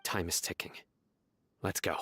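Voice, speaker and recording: A man playing a stern, solemn young investigator in a video game, speaking in a grave and deliberate way. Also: pushed and bright. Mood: grave, resolute. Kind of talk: scripted video game dialogue.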